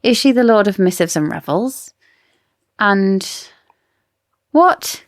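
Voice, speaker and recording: A woman's voice: mid-range voice, animated, slow, no echo, studio quality. A woman co-hosting a book discussion podcast, talking casually in an inquisitive, opinionated way. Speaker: podcast co-host.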